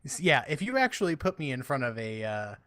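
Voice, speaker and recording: A man's voice: mid-range voice, very animated, brisk, bright, forceful tone, no echo, clean studio sound. A man co-hosting a casual podcast, joking and boasting crudely. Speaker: podcast co-host, musician.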